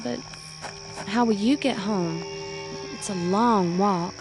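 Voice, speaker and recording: Young country bride-to-be, earnest, hesitant, slow delivery, music and crickets behind her. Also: mid-range voice. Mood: puzzled. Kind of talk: radio drama acting.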